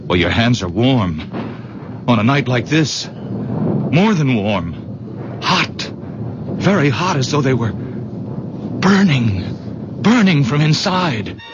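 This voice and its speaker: A man's voice: mid-range voice, very animated, slow pace, long pauses. An actor playing an anxious, awed young man in a radio drama, hesitant and stammering. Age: young adult. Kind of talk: 1970s radio drama acting. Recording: muffled, very noisy old radio broadcast.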